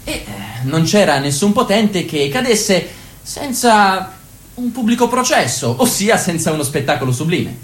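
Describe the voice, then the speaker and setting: A woman's voice: mid-range voice, very animated, moderate pace, natural voice. A woman acting as a solemn chronicler of a tyrant's rule in radio theatre, with emphatic, declamatory delivery. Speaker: narrator. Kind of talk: dramatic narration in radio theatre. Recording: echoey room, loud music bed. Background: loud music.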